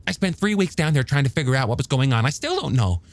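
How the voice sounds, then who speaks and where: Man voicing an animated young male shark scientist. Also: medium pitch, fast talker, voice pushed up. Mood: animated, explaining. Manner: stammering, emphatic explaining. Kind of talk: audiobook character dialogue.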